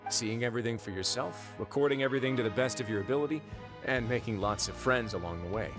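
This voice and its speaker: An actor playing a composed, courteous duke who runs a prison fortress in a video game, speaking in a measured, suave, wry and authoritative way. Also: male voice, mid-range voice, close to an everyday voice. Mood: friendly, reflective. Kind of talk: video game character acting. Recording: music behind the voice.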